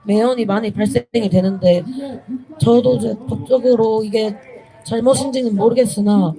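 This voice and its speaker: A young woman giving an earnest, pleading protest speech, halting and correcting herself as she goes. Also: fairly high pitch, mellow, low-quality recording. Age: young adult. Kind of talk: impromptu political rally speech. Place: outdoors at a street protest.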